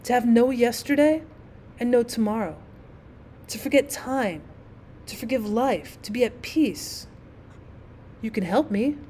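Woman playing an old male ghost, weary, lonely, gentle, low dreamy tone, mournful storybook delivery. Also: a little hiss or hum, close to an everyday voice. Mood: dreamy, wistful. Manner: slow, mournful, wistful delivery. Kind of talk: storybook character reading.

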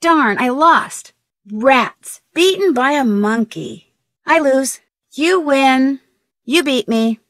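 A woman playing an upbeat, curious young female detective in a video game, youthful, perky and earnest, with clear diction. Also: sits high, lively intonation, slow, fairly bright tone, no echo, studio quality, heavily acted. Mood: mildly disappointed. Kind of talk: scripted video game voice acting, thinking aloud.

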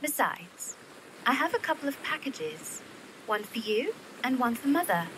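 A woman's voice: fairly high pitch, sing-song, unhurried, fairly bright tone, slight background noise, rough recording. A woman playing a young, worldly, protective Victorian widow and elder sister in an audio drama. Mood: affectionate. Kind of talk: period audio drama acting.

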